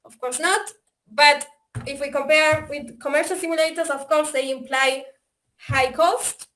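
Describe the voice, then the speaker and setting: A woman with an Argentinian accent presents a technical webinar in English over a video call. Her voice sits fairly high. She talks hesitantly, filling gaps with "uh" and restarting her phrases. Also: steady tone, measured pace, fairly bright tone. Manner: hesitant, many fillers and restarts. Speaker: software developer presenting.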